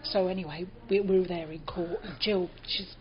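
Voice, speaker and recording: A woman's voice: fairly low pitch, fairly even intonation, normal speed. Older British woman reminiscing, muffled recording. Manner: anecdotal, reflective, acts out reported speech. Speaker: interviewee. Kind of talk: reminiscing in an oral history interview.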